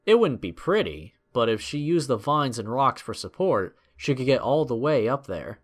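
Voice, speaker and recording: Mid-pitched man narrating a dramatic story. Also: sing-song, moderate pace.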